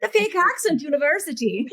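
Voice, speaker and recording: A middle-aged woman co-hosting a podcast, chatty and quick to laugh, with animated asides. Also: very high voice. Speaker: podcast co-host. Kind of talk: casual chat and ad read.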